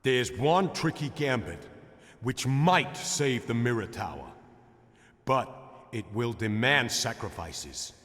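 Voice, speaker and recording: A man's voice: medium pitch, expressive, slow, fairly bright tone. A man playing an earnest, probing schemer who challenges authority, with pointed, questioning lines in a theatrical game scene in a reverberant hall. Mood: earnest, challenging. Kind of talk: theatrical scripted game dialogue.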